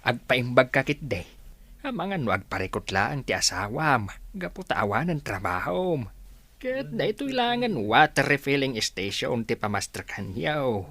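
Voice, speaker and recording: An actor playing a friendly, fussing village worker in an Ilocano radio comedy drama, with an Ilocano accent and exclamatory delivery. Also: male voice, mid-range voice, very animated, normal speed, pushed and bright, no room sound, heavily acted. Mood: chiding, concerned.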